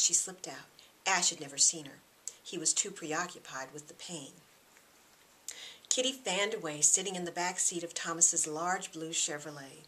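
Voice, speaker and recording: A woman's voice: on the low side, a bit bright, a little hiss or hum, poor audio. A woman narrating her own novel as a scripted audiobook, acting out the dialogue expressively. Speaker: author reading own novel.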